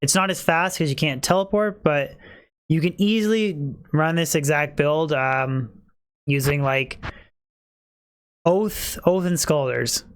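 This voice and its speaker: A man's voice: a little high, slow pace, pauses often, clean tone. A man streaming a game live, chatting casually with his viewers while he plays; he says he is losing his voice. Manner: chatty, talks to chat viewers, many fillers.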